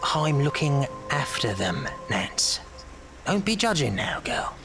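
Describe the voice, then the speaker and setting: An actor playing a wily old leader of child pickpockets in an audio drama, coaxing in drawn-out phrases with faint menace. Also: male voice, mid-range voice, very animated, a bit bright, faint music behind, heavily acted. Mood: dry, wary.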